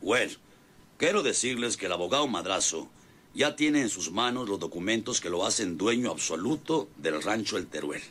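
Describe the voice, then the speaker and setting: Blustering, low-voiced Mexican ranch boss. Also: male voice, lively intonation, moderate pace, a bit bright. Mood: gloating. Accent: rural northern Mexican. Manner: folksy, blustering, domineering. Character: boastful, bossy male ranch boss with a lame leg. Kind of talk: old-fashioned radio drama acting.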